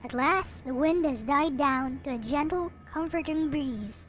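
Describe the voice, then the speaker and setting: A woman reading a visual novel aloud in an expressive, theatrical way, like a dramatic narrator. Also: high-pitched, unhurried. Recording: music playing behind the voice. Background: visual novel soundtrack music.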